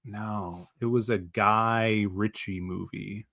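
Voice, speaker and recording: A man's voice: on the low side, steady tone, slow pace, vocal fry, crowd noise. A man chatting casually on a podcast, telling a story in a laid-back way. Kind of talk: casual chat.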